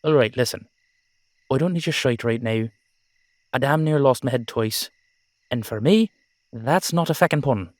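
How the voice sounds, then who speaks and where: A man's voice: mid-range voice, normal speed, long pauses, no room sound, lightly acted. A man voicing an exasperated, foul-mouthed Irish dullahan horseman in an audio roleplay drama, blustering and complaining with an Irish accent. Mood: irritated.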